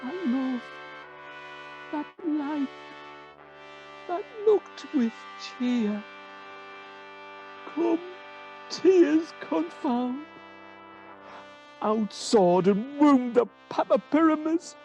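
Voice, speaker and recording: A woman's voice: sits high, animated, drawn-out words, long pauses, warm tone, heavily acted. A woman acting a bombastic amateur tragedian as a dying lover in a Shakespeare radio play. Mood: mock anguish. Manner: bombastic, overblown declaiming. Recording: dull recording with brass music.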